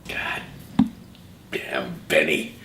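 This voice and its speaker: A man's voice: drawn-out words, reverberant, background noise. A man playing a gloomy, worn-out radio host who mutters and sighs. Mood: weary. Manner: sighing, muttering.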